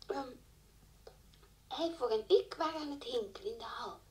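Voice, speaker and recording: A woman's voice: fairly high pitch, lively intonation, normal speed, a little mellow, distant mic, natural voice. An actress playing a naive, chatty young schoolgirl answering questions in a radio drama. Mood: earnest, innocent.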